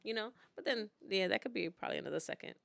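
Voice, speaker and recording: A woman's voice: medium pitch, lively intonation, fast talker, gravelly, fairly bright tone, no room sound. Playful woman in her forties chatting. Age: 40s. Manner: laughs often, playful. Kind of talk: casual chat.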